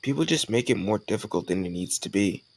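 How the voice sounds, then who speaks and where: Male actor as cocky college charmer, young, low creaky voice, casual teasing delivery, romantic audio roleplay. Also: lively intonation, fast talker, a little mellow, heavily acted. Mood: playful, persuasive. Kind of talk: scripted romantic audio roleplay addressed to the listener.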